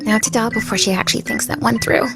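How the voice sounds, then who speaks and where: A woman playing a cool, sly teenage maid with a polite deadpan manner in an anime fandub radio play. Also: fairly high pitch, quick pace, over loud music, lightly acted. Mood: sly coaxing. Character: cool, dry teenage maid to a rich girl.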